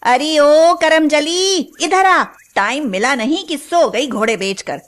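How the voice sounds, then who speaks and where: A woman voicing a cruel, domineering mother-in-law in a cartoon story, nagging and sarcastic with drawn-out calls. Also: sits high, fairly bright tone. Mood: scolding. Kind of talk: cartoon moral-story voice acting.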